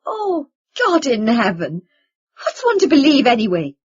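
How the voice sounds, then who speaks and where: A distraught mother as the woman narrator plays her, anguished and pleading in a raised, loud voice. It comes through as dull, lo-fi audiobook sound. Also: fairly high pitch, expressive, very slow, gravelly, dry recording, pitched above the performer's own voice, heavily acted.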